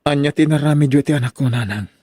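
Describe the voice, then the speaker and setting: A man's voice: fairly high pitch, fast talker, dark tone, no room sound, studio quality, natural voice. A man playing an earnest, pleading father searching for his lost son in an audio drama. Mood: anguished. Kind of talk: amateur audio drama acting.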